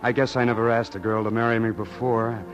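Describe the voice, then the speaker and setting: An actor playing an earnest, bashful young suitor with halting, boyish sincerity, in 1940s radio drama style. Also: male voice, mid-range voice, flat delivery, lightly acted. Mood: nervous, earnest. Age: young adult. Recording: dull old radio broadcast, music behind.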